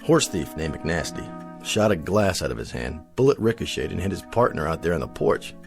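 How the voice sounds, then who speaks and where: An actor playing a timid TV Western star in an audio drama, speaking with an American accent in a hesitant, placating way. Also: male voice, low voice, big pitch swings, fairly quick, creaky, heavily acted. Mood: sheepish boasting. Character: timid, evasive actor who plays a TV Western marshal. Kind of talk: comic radio drama acting. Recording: music under the dialogue.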